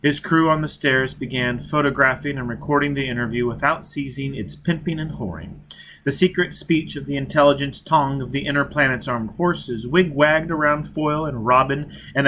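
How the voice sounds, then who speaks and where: A man's voice: mid-range voice, normal speed. A man reading a novel aloud as dramatic scripted narration. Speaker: audiobook narrator. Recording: steady background noise.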